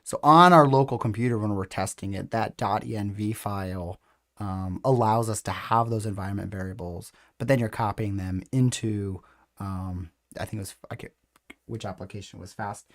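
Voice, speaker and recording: A man's voice: fairly low pitch, moderate pace, a bit bright, no echo, clean studio sound. A man in his forties hosting a casual livestream, conversational and hesitant in phrasing, talking on a headset in a video call. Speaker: host of online tutorial show. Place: indoor room, video call.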